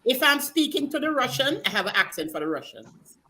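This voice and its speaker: Jamaican woman, animated storyteller; bright, higher voice, stammers as she goes. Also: a little fast, rough recording. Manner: animated storyteller, mimics accents with many stammered restarts. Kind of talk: casual group chat.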